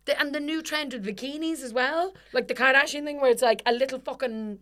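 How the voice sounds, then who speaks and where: Swearing, ranting woman, bright voice. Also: sits high, fairly quick. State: slightly husky voice. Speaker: podcast co-host. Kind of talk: casual comedic chat.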